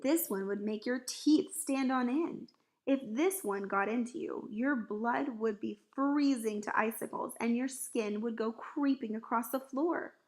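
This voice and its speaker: A woman voicing a big, excitable but kindly male giant in a storybook, dramatic and exclamatory, with quaint mangled grammar. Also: a little high, unhurried. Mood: grave warning.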